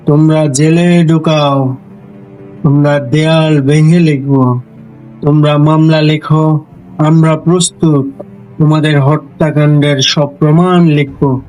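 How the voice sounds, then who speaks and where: A man recites poetry solemnly at a very slow pace, in a fairly high voice. His delivery is measured and declamatory, with deliberate pauses and faint music behind him. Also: steady tone, darkish tone, low-quality recording. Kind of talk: solemn dramatic recitation of a protest poem. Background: background music.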